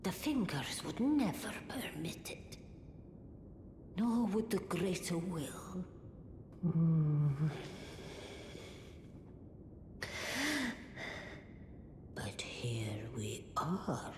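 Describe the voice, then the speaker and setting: An actress playing an ancient, grave and cryptic oracle crone in a fantasy game, with a breathy aged voice and a portentous, musing delivery. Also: female voice, expressive, drawn-out words, heavily acted. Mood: musing, conspiratorial. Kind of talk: theatrical fantasy game acting. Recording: echoey hall, loud rumbling background noise.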